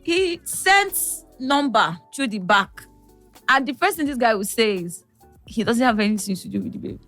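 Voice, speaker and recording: A woman's voice: fairly high pitch, moderate pace, soft background music. A young woman, a podcast guest telling personal stories emphatically. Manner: expressive, emphatic storyteller. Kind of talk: casual chat.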